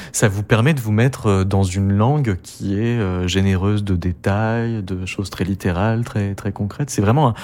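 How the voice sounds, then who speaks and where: A man with a fairly low voice, hosting a cultural talk. He frames long, winding questions and hesitates over his words as he goes. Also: a little mellow. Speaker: host, interviewer. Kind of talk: radio interview questions.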